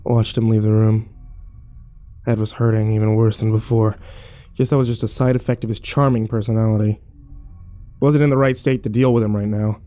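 A man's voice: on the low side, steady tone, a little fast, long pauses, dark tone, natural voice. An actor playing a weary, irritable hospital patient who tells his story in a sullen, sardonic first-person horror narration. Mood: weary, brooding.

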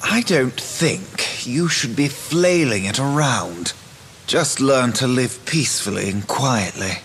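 An actor playing a cold, protective young sorcerer twin brother who speaks in a cool, mocking, measured way in a game cutscene. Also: male voice, medium pitch, animated, fairly bright tone, slight background noise. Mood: dismissive. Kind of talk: video game cutscene dubbing. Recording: game audio with music behind.

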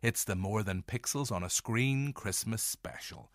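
Northern irish guy hosting a podcast, lowish voice, dry close sound, playful asides. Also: unhurried, dry recording. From Northern Ireland. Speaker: podcast host. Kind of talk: scripted-sounding podcast introduction.

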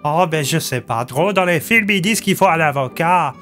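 Sergent de police naïf et bavard joué par un homme. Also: male voice, on the high side, animated, normal speed, a bit bright, faint music behind, pitched above the performer's own voice. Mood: hesitant, earnest. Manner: hesitant, rambling, guileless. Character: naive, dim-witted, chatty male police sergeant.

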